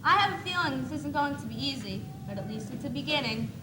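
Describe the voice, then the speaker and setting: A woman's voice: high voice, lively intonation, fast talker. A young teenage girl playing a thoughtful, uncertain schoolgirl in a school stage play. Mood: earnest, wistful. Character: thoughtful teenage girl unsure about boys. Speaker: student actor in a school one-act play. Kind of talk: school stage monologue and dialogue. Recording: noisy 1980s school video recording.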